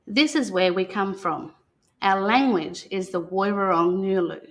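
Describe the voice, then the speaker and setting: An Australian woman speaking as a guest storyteller, talking and reading a picture book aloud in a gentle manner. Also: medium pitch, a little slow. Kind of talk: unscripted talk and picture-book read-aloud.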